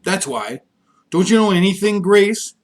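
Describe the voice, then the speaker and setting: A man's voice: high-pitched, lively intonation, a little slow, pitched above the performer's own voice. A man voicing a sassy, grouchy, defiant young schoolgirl from a storybook, with blunt, indignant childish phrasing.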